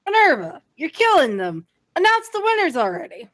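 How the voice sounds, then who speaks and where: A woman's voice: very high-pitched, wildly swooping pitch, moderate pace, a bit bright, full character voice. A woman voicing a playful, jolly old male headmaster wizard in an amateur audio drama. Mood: teasing.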